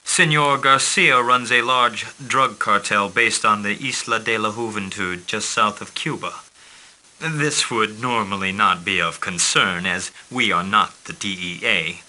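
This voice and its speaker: An actor playing a formal male intelligence handler who briefs a commander on his missions in a calm, businesslike way. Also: on the low side, unhurried, poor audio. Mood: briefing. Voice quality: crisp, formal delivery. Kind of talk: scripted game briefing.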